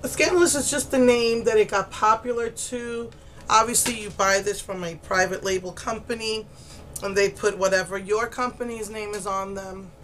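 A woman's voice: mid-range voice, steady tone, moderate pace, a bit bright, slight background noise. A middle-aged woman, still congested and getting over laryngitis, chatting her way through a makeup tutorial with many ums, close to the camera in a small room. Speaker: makeup vlogger. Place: indoors, small room against a pale blue wall. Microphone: camera close to the face.

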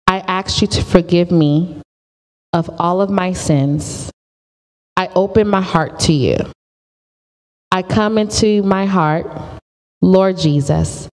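A woman's voice: lowish voice, darkish tone, in a large hall. A woman teaching a pre-teen church class from a stage with a handheld mic, earnest and often repeating herself.

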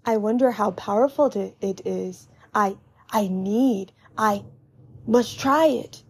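A woman's voice: mid-range voice, slow, breathy edge. A woman voicing a tiny, clever, wistful little boy in storybook dialogue, hesitant and grumbling.

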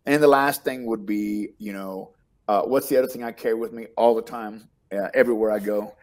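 A man's voice: lowish voice, steady tone, fairly quick, darkish tone. A middle-aged man with a Texas accent, a guest chatting casually on a video call, rambling and repeating words as he starts sentences. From Texas, United States. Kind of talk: casual interview chat. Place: home room, on video call.

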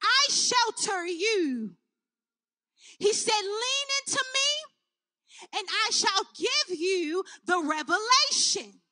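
A woman's voice: extremely high pitch, sing-song, slow, bright, forceful tone, no echo. A woman leading an impassioned spoken prayer, crying out with her voice breaking, speaking in tongues between phrases. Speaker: prayer leader.

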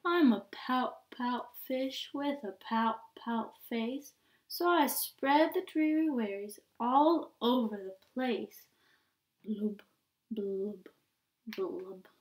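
A woman voicing a glum, gloomy male fish in a picture book, in a slow mopey sing-song with deep drawn-out blubs. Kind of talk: storybook reading.

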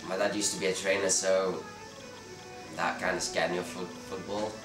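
Teen guy from Belgium, very low voice, distant in a roomy bar over loud music. Relaxed, halting interviewee. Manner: relaxed, hesitant, frequent restarts. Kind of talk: casual interview answers. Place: dim bar with red walls, indoors.

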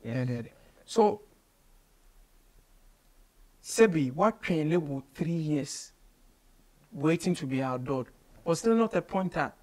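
A man in his thirties with a Ghanaian accent, hosting a casual interview in an animated, emphatic way and switching between English and Twi. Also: on the high side, takes it slowly, pauses often, low-quality recording. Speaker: radio show host. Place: small radio studio office, indoors.

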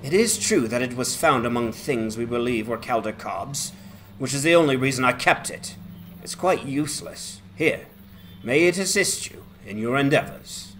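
A man's voice: medium pitch, animated, moderate pace, fairly bright tone, soft background music, pitched below the performer's own voice, natural voice. A man voicing a courteous, plain-spoken village guard with polite, formal speech in a fantasy game. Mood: courteous, matter-of-fact. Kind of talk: dramatized fantasy game quest dialogue.

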